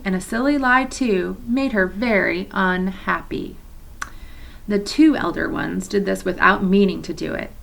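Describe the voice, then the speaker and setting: A woman's voice: mid-range voice, gravelly, pushed and bright. Woman reading a children's chapter book aloud, friendly asides, recorded in a church office. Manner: chatty asides to young listeners.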